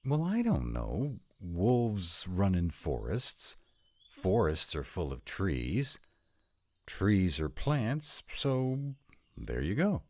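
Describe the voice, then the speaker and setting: A man's voice: deep voice, wildly swooping pitch, extremely slow, darkish tone, no echo, exaggerated voice. A man playing a wry, sarcastic young vampire in a scripted roleplay audio drama. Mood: wry, hesitant.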